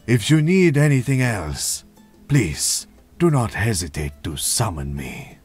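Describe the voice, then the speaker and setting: Give me a slow, theatrical charmer of a barista, deep and husky. He lingers on every gallant phrase with a lively, swooping delivery. Faint game music sits under him. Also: exaggerated voice.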